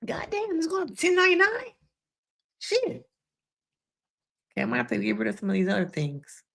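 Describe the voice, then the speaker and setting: A woman's voice: fairly high pitch, very animated, a little fast, creaky, fairly bright tone. A woman hosting a casual live stream, chatting frankly with viewers, laughing often, and losing her voice.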